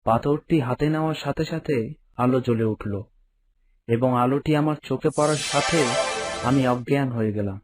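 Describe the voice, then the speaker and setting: A young man narrating a horror story in the first person, in a steady, earnest storyteller's way. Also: mid-range voice, normal speed, soft background music. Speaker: narrator and hero.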